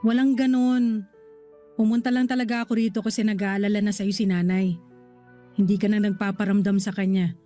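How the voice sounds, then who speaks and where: An actress playing a protective, guilt-ridden older sister in a radio drama, speaking earnestly and pleadingly. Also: female voice, mid-range voice, level tone, a little fast, dark tone, soft background music, close to an everyday voice. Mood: concerned. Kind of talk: Tagalog radio drama acting.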